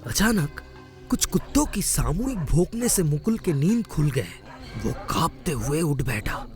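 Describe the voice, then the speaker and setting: A man's voice: a little high, sing-song, unhurried, a little mellow, soft background music. A man narrating a suspenseful cartoon story in a scripted, dramatic storytelling style.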